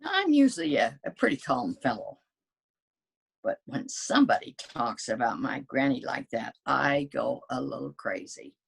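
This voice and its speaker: A woman's voice: a bass voice, very animated, normal speed, gravelly, a little hiss or hum, heavily acted. A woman reading as a wily male wolf who pleads his innocence in folksy, self-justifying storybook narration.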